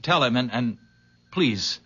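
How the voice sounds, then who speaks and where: A man's voice: medium pitch, animated, takes it slowly, sharp tone, husky, full character voice. An actor playing an awestruck young man, hesitant, stammering and earnest, in an old-time radio drama. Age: young adult. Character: awestruck, grateful young visitor. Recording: dull lo-fi old radio recording.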